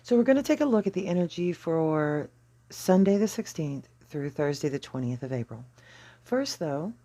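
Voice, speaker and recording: An astrology channel host explains a card reading at a deliberate pace, pausing between phrases. The voice is mellow and husky, with a gravelly creak running through it. The delivery is measured and explanatory. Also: medium pitch, expressive.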